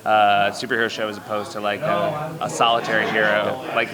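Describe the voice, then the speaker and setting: A male guest answers in a quick, easygoing way. His pitch is mid-range and his pace is brisk. A crowd is clearly audible outdoors. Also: flat delivery. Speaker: guest producer. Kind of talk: casual interview.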